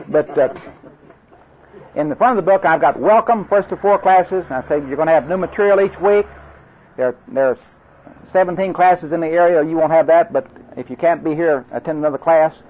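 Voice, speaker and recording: An older man from Oklahoma with an Oklahoma accent, giving a folksy, joke-filled training talk to class instructors, rambling through anecdotes with many restarts. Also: a little high. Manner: storytelling, joking, drawling, rambling restarts. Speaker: evangelistic crusade class instructor. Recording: dull lo-fi recording.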